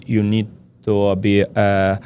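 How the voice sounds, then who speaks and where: A man, a podcast guest, speaking halting, broken English with hesitations. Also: lowish voice, monotone, darkish tone, slight background noise. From former Soviet Union. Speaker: guest, soccer fitness coach. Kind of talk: casual interview chat.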